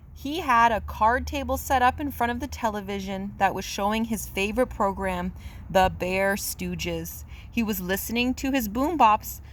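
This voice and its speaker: A woman's voice: mid-range voice, a little hiss or hum. A woman reading a children's picture book aloud in a simple, teacherly, explanatory manner. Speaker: read-aloud storyteller.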